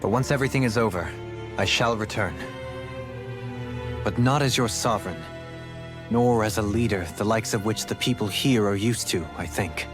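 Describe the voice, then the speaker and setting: A thoughtful young nobleman voiced by a man speaks with earnest formality in a game cutscene. His voice sits on the low side, measured and dutiful, with clear music behind it. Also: lightly acted. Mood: thoughtful, hopeful. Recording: prominent background music.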